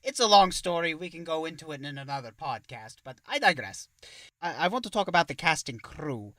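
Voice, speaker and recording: Man putting on a formal vampire count, bright voice. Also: fairly high pitch, lively intonation, a little fast, no echo. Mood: indignant. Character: male vampire count hosting a talk show, polite, touchy. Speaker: interviewer. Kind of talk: in-character podcast interview.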